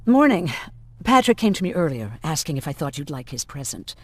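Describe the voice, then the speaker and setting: A man's voice: on the high side, very animated, fairly quick, a bit bright, no echo. A man playing an abrasive, suspicious survivor in a video game, blunt and confrontational. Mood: dry, suspicious.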